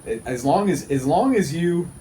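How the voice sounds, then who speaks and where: A man's voice: fairly high pitch, lively intonation, normal speed, noisy background. A man in his thirties talking casually and earnestly as a podcast host, often repeating himself for emphasis. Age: 30s. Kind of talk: casual conversational podcast talk.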